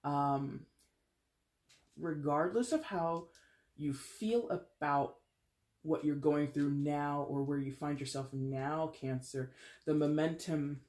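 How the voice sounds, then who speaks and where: Stuffy-nosed tarot reader, chatty and rambling, mid-pitched, clearing a dry throat. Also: steady tone, slow pace, darkish tone. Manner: chatty, rambling, self-correcting with small laughs. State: congested with a head cold. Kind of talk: casual tarot reading to camera.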